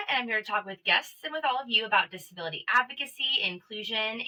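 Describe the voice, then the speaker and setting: Bright-voiced woman hosting a podcast. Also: medium pitch, distant mic, poor audio. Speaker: podcast host. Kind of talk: casual interview hosting. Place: small closet studio, in person.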